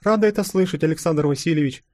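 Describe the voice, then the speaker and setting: A man's voice: a little high, fast, a little mellow, no echo, studio quality, voice pushed up, close to an everyday voice. A man doing a proud young empress. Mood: regal.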